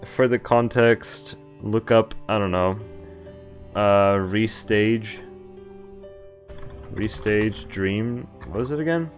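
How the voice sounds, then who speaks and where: A man's voice: fairly low pitch, slow, pauses often. A man reads a visual novel aloud over game music. He slips between reading the script and chatty, informal asides of his own, joking as he goes. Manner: informal, breaks off reading to joke and comment. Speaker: streamer playing a visual novel.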